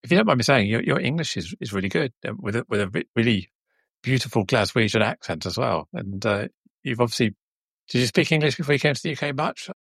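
A man's voice: mid-range voice, animated, fast, gravelly, a bit bright, breathy edge, no echo. A man hosting a show, interviewing in a casual, conversational way with a hesitant, rambling delivery.

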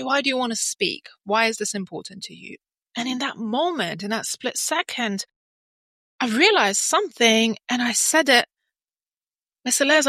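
A woman's voice: mid-range voice, bright, forceful tone, dry recording. A woman from Lithuania speaking as a podcast guest, telling her own story in an earnest, emphatic, conversational way. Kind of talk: conversational interview, personal storytelling.

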